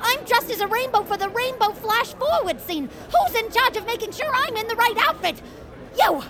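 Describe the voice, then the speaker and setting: A woman voicing an excitable, childlike, anxious amateur stage performer in a cartoonish audio drama, wide-eyed and earnest. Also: very high-pitched, moderate pace, crowd noise. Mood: panicked.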